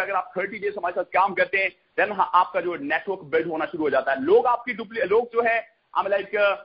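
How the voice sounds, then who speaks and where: A man with a husky, rough-edged voice giving a repetitive sales pitch on a conference call, dropping English catchphrases into his talk. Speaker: network marketing promoter hosting a conference call. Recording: dull lo-fi conference call audio.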